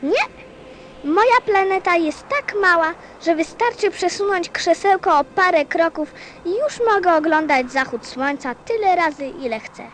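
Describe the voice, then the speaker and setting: A woman's voice: very high voice, expressive, a little slow, a bit bright, slight background noise, full character voice. A woman playing a small, sensitive little prince in a radio play, with a childlike, earnest, questioning manner. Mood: wistful.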